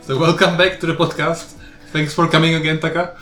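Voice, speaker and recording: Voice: medium pitch, level tone, fairly quick, roomy sound, music in the background. A podcast host chatting casually with guests, laughing often and asking many questions. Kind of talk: casual chat.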